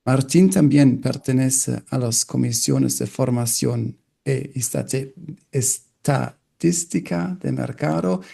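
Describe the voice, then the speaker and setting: Male interview host, hesitant delivery, heavy vocal fry, mellow dark tone. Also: medium pitch, slow pace. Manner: hesitant, apologetic about his Spanish. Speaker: podcast host. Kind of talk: interview hosting.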